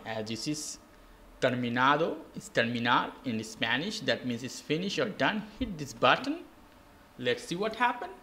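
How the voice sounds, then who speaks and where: A man's voice: on the high side, sharp tone, a little hiss or hum. A man hosting a step-by-step tech tutorial in simple, repetitive, non-native English phrasing. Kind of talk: step-by-step how-to narration. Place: indoors at a desk with a laptop.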